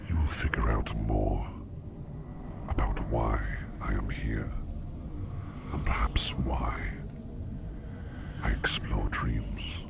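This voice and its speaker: A man playing a curious, enigmatic sleep demon in an ASMR roleplay, whispering slowly in formal, musing phrases with a gravelly creak. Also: heavily acted. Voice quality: breathy whisper over very deep gravelly creak.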